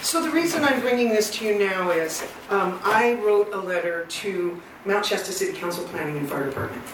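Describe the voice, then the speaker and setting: A woman with a loud voice giving a public comment at a meeting, earnest, detailed and persistent. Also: medium pitch, lively intonation, brisk. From Northern California. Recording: echoey hall, far from mic, noisy.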